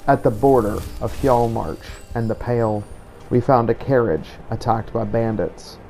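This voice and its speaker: A man narrating a scripted first-person fantasy journal as a grave, duty-torn vampire hunter, solemn and measured. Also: medium pitch, warm tone, soft background music. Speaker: game player narrating a roleplay playthrough.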